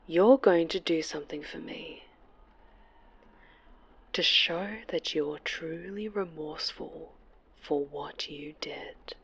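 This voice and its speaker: Lady playing a creepy sweet yandere stalker villain, kinda low, really slow and breathy, drops to whispers, vengeful, asmr style. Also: heavily acted, poor audio. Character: obsessive vengeful female superhero villain, sweetly menacing stalker. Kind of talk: yandere horror ASMR roleplay.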